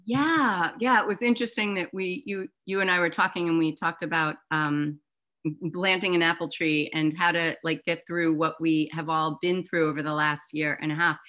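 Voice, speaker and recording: Female host for an online arts discussion. Slightly low voice, informal, enthusiastic, conversational. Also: steady tone, moderate pace, a bit bright. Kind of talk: casual, chatty hosting of a live talk. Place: online video call.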